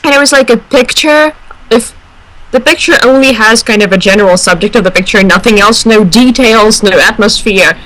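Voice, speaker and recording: A woman's voice: fairly high pitch, moderate pace, fairly bright tone, rough recording. A woman on a discussion panel, talking casually in a reflective, explanatory way. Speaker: radio show panelist.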